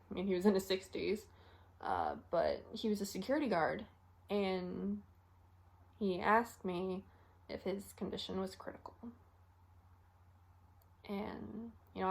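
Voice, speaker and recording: A woman playing a weary, frustrated young first-year medical intern in a halting, confiding, naturalistic audition monologue. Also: medium pitch, creaky, close to an everyday voice.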